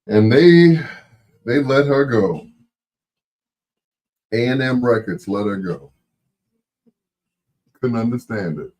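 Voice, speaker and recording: A man's voice: medium pitch, expressive, takes it slowly, long pauses, darkish tone. A man hosting a casual late-night chat stream, rambling along in a laid-back way.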